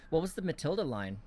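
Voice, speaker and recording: A man's voice: fairly high pitch, dark tone. A man hosting a casual podcast chat, joking around with friends. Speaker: podcast host.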